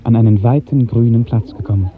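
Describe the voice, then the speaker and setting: A man narrating a literary radio drama in a measured, lyrical 1950s storytelling style. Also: medium pitch, music in the background. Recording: muffled old 1950s radio recording.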